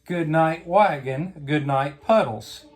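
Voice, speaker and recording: A woman reading a picture book aloud to a young child, pausing to ask simple questions. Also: low-pitched, darkish tone. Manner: simple questions and prompts addressed to a small child. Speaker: bedtime story reader.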